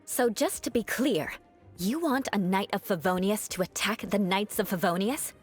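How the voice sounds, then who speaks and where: A woman voicing a proud young noblewoman knight in a video game, composed and defiant, with formal, measured, coolly disdainful delivery. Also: mid-range voice, husky, soft background music, natural voice. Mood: cool defiance.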